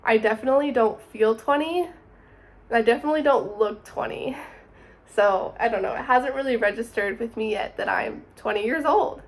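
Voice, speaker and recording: A woman's voice: fairly high pitch, moderate pace. A woman of twenty, narrating her summer in a chatty, upbeat vlog voice-over. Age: 20s. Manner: chatty, upbeat, says "super" often. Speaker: vlogger.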